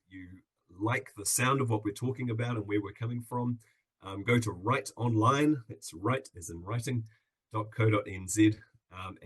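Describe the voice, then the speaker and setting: New Zealand man, upbeat chatty webinar host, husky lowish voice. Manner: upbeat, chatty, with many fillers. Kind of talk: live webinar hosting. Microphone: video call.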